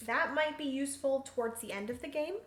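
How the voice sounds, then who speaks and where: A woman's voice: high voice, fast talker, fairly bright tone. A woman hosting a solo board game livestream, chatty, thinking aloud and laughing often. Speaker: host. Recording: roomy room echo, faint hum.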